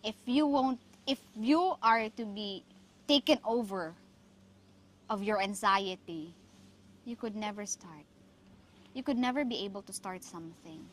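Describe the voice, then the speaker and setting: A woman from the Philippines with a Filipino accent and a Cebuano mother tongue, hosting reflective, motivational talk with emphatic, deliberate phrasing. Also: medium pitch, fairly bright tone. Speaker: podcast host.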